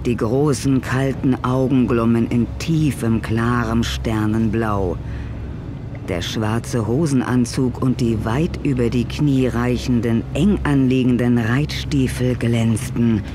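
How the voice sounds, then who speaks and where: A man narrating an audio drama from a script in a measured, literary manner. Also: medium pitch, steady tone, background noise.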